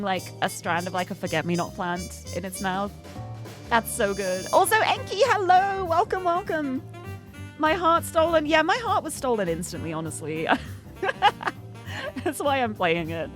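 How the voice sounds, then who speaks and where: A young woman streaming a story game, chatting casually in a bubbly, giggly way, her voice a little croaky from just waking up. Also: fairly high pitch. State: slightly croaky, just woken up.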